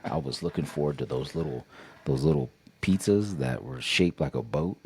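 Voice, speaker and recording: Very deep, gravelly man telling stories. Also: normal speed, a little hiss or hum. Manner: animated, jumps into quoted voices. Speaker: podcast co-host. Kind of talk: casual storytelling chat.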